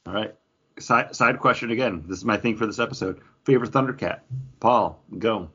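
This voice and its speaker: A man's voice: fairly low pitch, a little fast, bright, forceful tone, husky, muffled recording. A man co-hosting a podcast in casual, enthusiastic chat, using plenty of slang and swearing. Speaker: podcast co-host. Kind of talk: casual chat about comics and toys.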